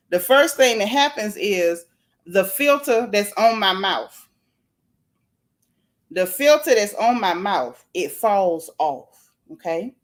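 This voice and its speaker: A woman hosting a casual live gossip stream, reading viewer comments aloud and reacting in a blunt, sassy, animated way. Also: medium pitch. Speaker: livestream gossip host.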